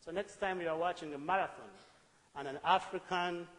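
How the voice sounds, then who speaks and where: A middle-aged Ugandan man with a Ugandan accent, giving an earnest, deliberate public talk with rising emphatic bursts. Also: high-pitched, fairly even intonation, a bit bright. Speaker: speaker. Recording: echoey hall with long reverb.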